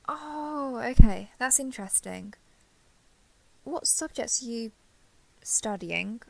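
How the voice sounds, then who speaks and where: A woman playing a caring, apologetic young dragon girl giant in an intimate roleplay audio drama, talking gently and hesitantly to the listener. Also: a little high, vocal fry, dry recording. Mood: gently curious.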